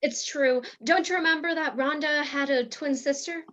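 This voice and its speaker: A woman's voice: high-pitched, moderate pace, a bit bright. A woman playing a melodramatic, lovestruck soap-opera heroine who hides secrets, in a radio play parody. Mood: confessing. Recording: dull lo-fi recording.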